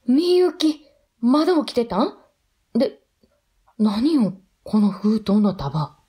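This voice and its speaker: Soft, husky Kansai mother. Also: a little high, very animated, slow, heavily acted. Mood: surprised. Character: anxious, supportive wife and mother, worn by poverty.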